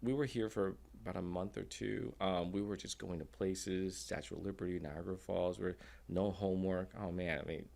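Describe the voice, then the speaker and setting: A man's voice: low-pitched, fairly even intonation, normal speed, vocal fry, a little mellow. A middle-aged man from Taiwan and the United States, talking casually and informally as a guest. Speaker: podcast guest, endodontist. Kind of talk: casual conversational storytelling.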